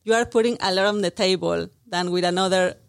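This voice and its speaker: A woman's voice: lowish voice, brisk, gravelly, no echo, clean studio sound. A woman in her thirties from Mexico City with a Mexican Spanish accent, earnestly answering interview questions with frequent restarts. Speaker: brand marketing manager, guest. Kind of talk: radio interview. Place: radio studio.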